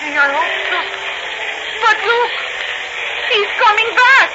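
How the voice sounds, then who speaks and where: A coquettish, excitable moll speaks broken English with a Spanish accent. Her voice is extremely high and swoops up and down, in vintage radio drama style through heavy noise. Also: slow, exaggerated voice. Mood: anxious, excited. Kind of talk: 1940s radio acting. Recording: dull, very noisy 1940 radio recording.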